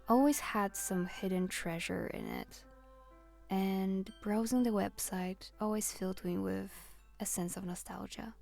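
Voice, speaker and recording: Female video essayist, mid-pitched and mellow, reading reflective scripted narration earnestly in measured phrases.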